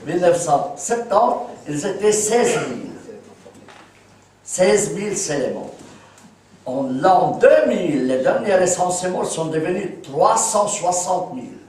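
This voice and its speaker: A man in his sixties from Palestine gives a talk to a live audience, walking them through examples and checking that they follow. His voice sits high for a man. He sounds distant from the microphone, and the room adds heavy echo. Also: animated, slow, a little mellow. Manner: explanatory, asks listeners for agreement. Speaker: speaker at a public talk. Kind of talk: lecture with audience questions. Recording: echoey room, speaker far from the mic.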